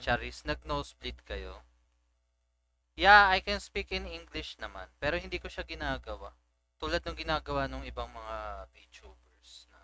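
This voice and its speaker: Bright-voiced Filipino man streaming. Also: on the high side, very animated, moderate pace, rough recording. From Philippines. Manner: chatty, mixes English and Tagalog. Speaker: VTuber streamer. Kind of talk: casual gaming commentary.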